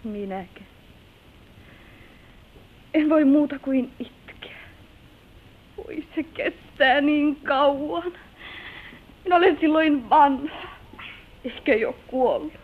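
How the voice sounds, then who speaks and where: An actress playing an unhappy young wife, torn and weary of poverty, in a plaintive, theatrical old radio drama style. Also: female voice, sits high, natural voice. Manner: plaintive, slow theatrical delivery. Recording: old muffled narrow-band radio recording, hissy.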